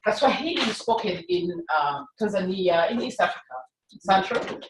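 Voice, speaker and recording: A woman with Kirundi as her first language, talking in a class discussion, halting and repeating words. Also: mid-range voice. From Burundi. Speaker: interpreter trainee. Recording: roomy, distant, faint noise.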